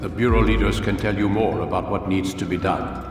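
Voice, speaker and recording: A man playing a stern, authoritative older master of assassins, speaking formally and with measured admonishment in a video game. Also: sits low, steady tone, lightly acted. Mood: stern, admonishing. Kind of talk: video game cutscene acting. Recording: very echoey stone hall, distant, loud music.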